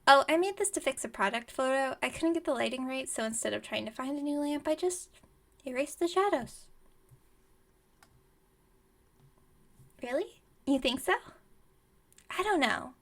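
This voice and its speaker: Woman as scatterbrained young witch running a shop: high voice, theatrical salesmanship, chatty rambling, ASMR roleplay. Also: expressive, fairly quick, fairly bright tone. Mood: deflated, earnest. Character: flustered, dramatic, scatterbrained young female witch shopkeeper.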